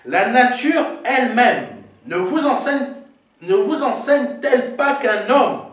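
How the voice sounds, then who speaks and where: A male pastor preaches in a church sanctuary with a high, lively voice that swings up and down. He teaches point by point, often pausing for "Amen". The sound echoes heavily around the hall, picked up from a distance. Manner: teaching, explaining Greek words. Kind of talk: sermon. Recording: very echoey hall, mic far off.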